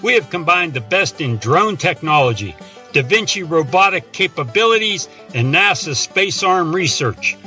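A zealous radio pitchman played by a man hawks absurd tools in a parody commercial. His voice is bright and forceful and sits a little high. He sounds salesy and mock-earnest, with light music behind him. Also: sing-song, normal speed. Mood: salesy, upbeat.

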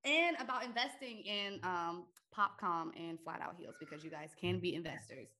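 Bright-toned woman podcast host. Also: on the low side, normal speed. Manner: warm, encouraging interviewer. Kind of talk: podcast interview.

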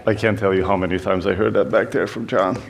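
A man's voice: low-pitched, steady tone, quick pace, creaky, very noisy. Hungarian-accented young man, chatty church talk. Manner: chatty, self-deprecating, many fillers. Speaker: youth pastor. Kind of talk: church talk, personal story.

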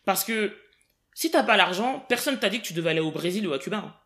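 A young woman from the Paris region in casual podcast conversation, emphatic and quick, often laughing. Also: medium pitch, expressive, fairly bright tone. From Paris region, France.

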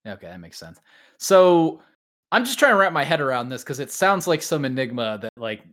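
A man's voice: medium pitch, big pitch swings, brisk, fairly bright tone. Man hosting a casual podcast. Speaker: podcast host.

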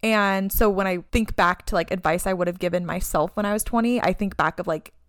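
A woman's voice: medium pitch, a little fast, dry recording, clean studio sound. A woman in her mid twenties co-hosting a podcast, chatting in an upbeat way with lots of "like" fillers. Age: 20s. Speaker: podcast co-host. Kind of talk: casual chat.

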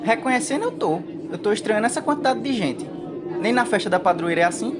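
A woman's voice: on the high side, expressive, fast, close to an everyday voice. A woman playing a cheeky, wry poor trickster in a radio soap opera. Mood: puzzled. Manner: wry, sassy. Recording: noisy crowd ambience behind.

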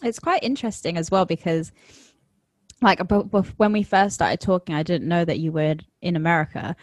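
Low-voiced London woman hosting a podcast. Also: normal speed, dry recording. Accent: London English. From England. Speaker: podcast host. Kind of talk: podcast interview.

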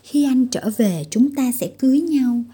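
A woman's voice: high voice, expressive, normal speed, warm tone, husky. A woman voicing a kind young wandering man in a fairy tale, plain and resolute. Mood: solemn.

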